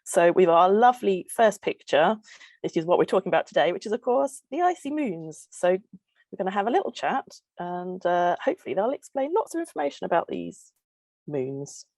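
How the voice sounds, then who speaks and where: Low-voiced woman hosting a live webinar. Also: very animated, moderate pace, a little mellow, no echo. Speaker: host and postdoctoral researcher. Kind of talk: friendly live webinar presenting.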